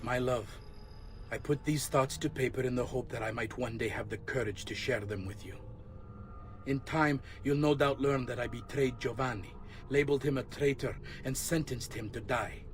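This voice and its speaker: Grave, remorseful traitor acted by a man, mid-pitched. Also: natural voice. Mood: tender, regretful. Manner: grave, deliberate, confessional. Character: remorseful male traitor confessing in a letter to his love. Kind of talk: dramatic letter narration in a video game. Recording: clear background ambient noise.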